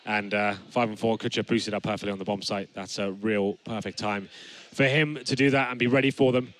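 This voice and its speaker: A young male caster calls the action in a low, rapid voice, a little raspy as he gets over a flu. He speaks into a headset mic on a studio set and climbs into excited shouts when the plays land. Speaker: esports caster. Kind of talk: play-by-play commentary. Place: studio set with gaming stations.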